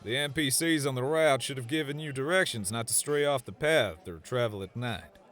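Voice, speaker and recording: An actor playing an easygoing but tough cowboy in an audiobook drama, with a folksy American Western drawl. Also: male voice, mid-range voice, normal speed, a bit bright, close to an everyday voice. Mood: casual, explaining. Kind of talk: audiobook drama character acting. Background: music.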